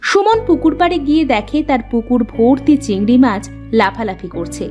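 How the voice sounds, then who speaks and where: A woman's voice: fairly high pitch, sing-song, a little fast, mellow, dull audio, faint music behind. A woman reading scripted narration for a cartoon story as its narrator.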